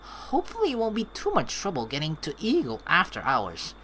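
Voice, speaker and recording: Casting: young female let's player, lower-pitched, hugely varied intonation, playful read-aloud and chatter. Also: a little fast, a little hiss or hum, low-quality recording. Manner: playful, expressive. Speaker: let's play host. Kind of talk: reading game text aloud with casual commentary. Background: game music.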